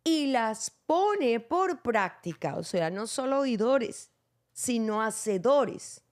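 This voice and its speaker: Woman host walking thru a bible verse, earnest, kinda high voice. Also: sing-song, slow, a little mellow.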